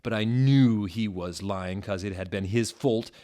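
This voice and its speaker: A man with a somewhat low voice narrates a story. His reading is theatrical and mock-serious, played for comedy as a dramatic performance. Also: unhurried, warm tone.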